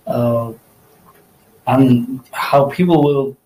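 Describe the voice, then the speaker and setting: A man's voice: mid-range voice, takes it slowly, warm tone, poor audio. A man whose first language is Nepali, a college student answering interview questions in a thoughtful, hesitant way with many fillers.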